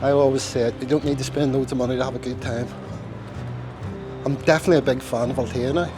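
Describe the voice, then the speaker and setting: A man's voice: mid-range voice, fairly even intonation, fast talker. Irish guy rambling on a walking tour, chatty and self-deprecating, a bit chesty, music playing under him. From Ireland. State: chesty, with a tickly cough. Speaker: travel vlogger. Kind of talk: casual walking-tour commentary. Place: outdoors walking through narrow old-town streets.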